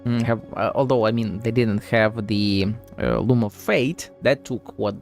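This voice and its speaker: A man's voice: fairly low pitch, measured pace, darkish tone. A man streaming a game with casual live commentary, musing and rambling as he goes, swearing casually. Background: game music.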